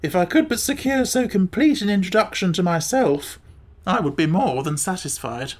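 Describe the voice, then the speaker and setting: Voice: mid-range voice, lively intonation, normal speed, voice pushed up, close to an everyday voice. A storybook narrator voicing a gloomy, indifferent flying creature with an animal head. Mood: aloof, careless. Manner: indifferent, careless, mournful.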